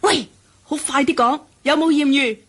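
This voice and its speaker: A woman's voice: sits high, normal speed, slight background noise, close to an everyday voice. An actress playing a spoilt, inquisitive young female model in a Cantonese radio drama, chatty and confiding. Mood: curious, playful.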